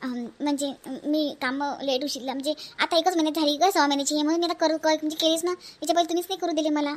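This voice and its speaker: Dutiful, conciliatory eldest sister-in-law for a family cartoon, played by a woman: high, brisk, hesitant delivery, Varhadi Marathi accent. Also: steady tone, natural voice. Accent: Varhadi Marathi from Vidarbha. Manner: conciliatory, hesitant, self-sacrificing. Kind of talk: homely family cartoon voice acting.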